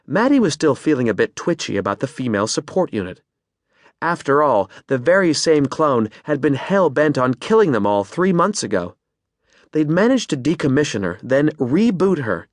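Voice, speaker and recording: A man narrating an audiobook in measured, expressive storytelling from a close studio mic. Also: on the high side, dry recording. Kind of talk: scripted narration.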